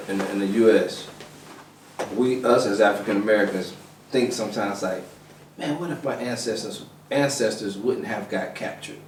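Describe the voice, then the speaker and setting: A man's voice: medium pitch, darkish tone, far from the mic, slight background noise. A man hosting a casual podcast chat, asking curious, probing questions. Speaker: podcast host. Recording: roomy, from off the mic.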